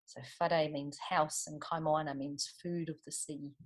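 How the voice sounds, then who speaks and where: A woman's voice: low-pitched, level tone, a little slow, background noise. A woman with a New Zealand accent reads a picture book to children expressively, adding chatty asides and questions for her listeners. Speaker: storytime reader for children.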